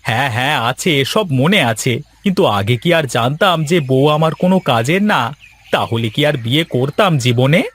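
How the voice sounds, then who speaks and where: A man's voice: medium pitch, expressive, normal speed, fairly bright tone, husky. Grumbling, put-upon village husband, acted by a man. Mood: irritated, placating. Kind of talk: Bengali cartoon story acting.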